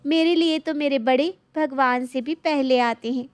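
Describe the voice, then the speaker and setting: A young, respectful daughter-in-law from a storybook cartoon, played by a woman, with a fairly high voice and a dry recording. She is earnest, deferential and eager to please. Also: moderate pace, no room sound, natural voice. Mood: sweetly dutiful. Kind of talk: animated moral story dialogue.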